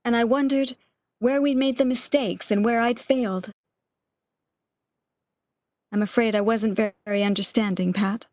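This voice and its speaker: Lady acting a wistful sick woman in bed, highish voice, dry recording, breathless old radio melodrama. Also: fairly even intonation, a little slow, long pauses, darkish tone, no room sound, lightly acted. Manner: breathless, emotional, old-fashioned melodramatic phrasing. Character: bedridden lovesick woman, wistful, eager, longing for lost love.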